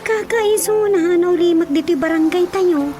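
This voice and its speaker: A woman's voice: very high-pitched, moderate pace. A woman with a Filipino accent playing a skeptical, worried villager in a radio drama. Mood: doubtful. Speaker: actor. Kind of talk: Ilocano radio drama acting. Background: music.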